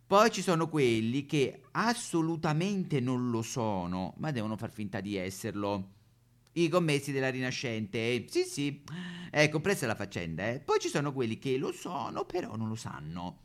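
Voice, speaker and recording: Man playing a coy gossipy neighbour, swooping pitch. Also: big pitch swings, moderate pace, natural voice. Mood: confiding, gossipy. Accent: Roman. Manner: coy, gossipy, with giggles and verbal tics. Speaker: solo stage performer. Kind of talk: theatrical comic character monologue.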